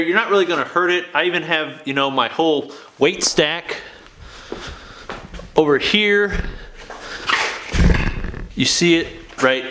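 A young man talks straight to the camera from his home garage gym, loud and emphatic, with a bright, forceful voice a little high for a man. His words bounce around a hard, echoey room while he moves about on camera, and he tags "okay?" onto his points. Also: slight background noise. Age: young adult. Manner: emphatic, keeps adding "okay?". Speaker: fitness video host. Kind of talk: casual talk to camera. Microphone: camera mic, moving around the room.

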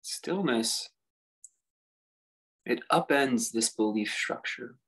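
Male meditation guide, gravelly and husky, contemplative delivery with lots of space between phrases. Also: mid-range voice, fairly bright tone, poor audio. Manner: unhurried, reflective delivery with long pauses. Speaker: meditation teacher.

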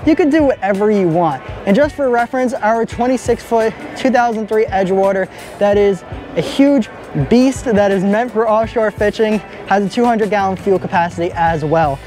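A young man filming a walkaround boat review at arm's length in a large indoor boat show hall, talking with steady enthusiasm. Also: sits high, normal speed, soft background music. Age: young adult. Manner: enthusiastic, upbeat. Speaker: boat vlogger. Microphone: handheld camera at arm's length.

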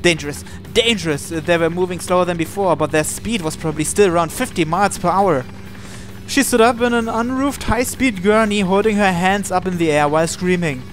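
A man with a fairly high voice reads story narration from a game aloud, slipping into casual asides as a channel host. Game music plays behind him. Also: a little fast.

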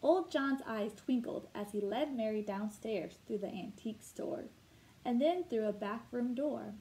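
Higher-pitched woman reading a children's storybook. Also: measured pace, mellow, rough recording. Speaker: teacher reading a picture book aloud. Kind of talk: expressive children's read-aloud narration. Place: indoor room at home.

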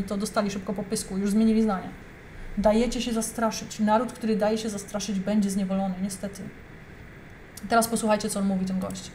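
Indignant young woman, mellow voice, faint hiss, emphatic. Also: medium pitch. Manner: indignant, emphatic, addresses viewers directly. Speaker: commentator reacting to a video. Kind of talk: heated reaction commentary.

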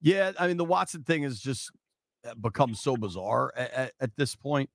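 A man's voice: mid-range voice, expressive, normal speed, creaky, no echo. An American man hosting a casual sports radio interview on a studio microphone. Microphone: studio broadcast microphone on boom arm.

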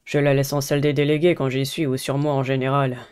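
A woman's voice: a bass voice, little pitch movement, fast talker, darkish tone, clean tone, pitched below the performer's own voice. A woman voicing a stressed, earnest teenage boy, a student delegate in a visual novel game.

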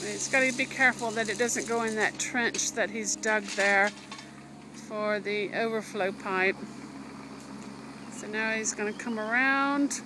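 A woman's voice: mid-range voice, a little slow. A woman casually narrating a home renovation video while filming outdoors, chatty and full of "you know" fillers. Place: outdoors at a building site. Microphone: phone camera held by the narrator. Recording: outdoor phone video with loud machinery. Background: digger engine noise.

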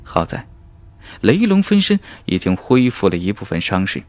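A man's voice: lowish voice, wildly swooping pitch, normal speed, no room sound. A man reading a fantasy novel as an audiobook narrator in an emphatic, suspenseful, dramatic style.

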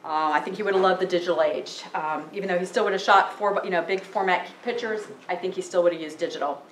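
A woman in her forties, a photographer giving a casual talk in a library meeting room, speaking loudly into a handheld microphone with plenty of fillers. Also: on the low side, quick pace, a little hiss or hum. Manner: loud, chatty, with many fillers. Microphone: handheld microphone through PA. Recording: echoey room over a PA.

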